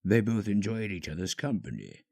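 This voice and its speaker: A man's voice: fairly low pitch, big pitch swings, moderate pace, gravelly, a little mellow. A man reading a fan-fiction story as a dramatic scripted narrator.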